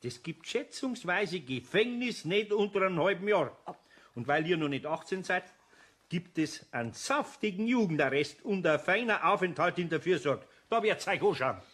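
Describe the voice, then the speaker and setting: An actor playing a gruff but kindly older craftsman in a children's audio drama, folksy and blustering, with a Bavarian accent. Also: high-pitched, animated, moderate pace, a bit bright, heavily acted. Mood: scolding.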